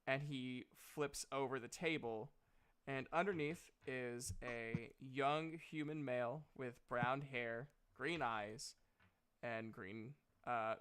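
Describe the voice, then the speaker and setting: A man's voice: mid-range voice, a bit bright, smooth. A man narrating a tabletop role-playing game as game master, casual and hesitant, swearing now and then.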